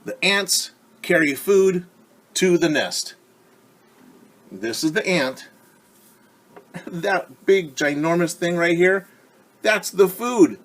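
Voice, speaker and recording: A man's voice: sits high, animated, extremely slow, pauses often, fairly bright tone. A man teacher reading a picture book aloud to young children, explaining words, asking them questions and adding playful sound effects. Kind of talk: children's story time read-aloud.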